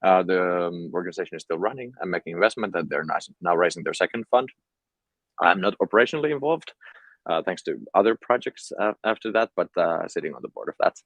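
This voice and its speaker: A man's voice: sits low, expressive, fast, a bit bright, no echo. A young man from Finland with a Finnish accent, talking casually as an entrepreneur guest in an interview. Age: young adult.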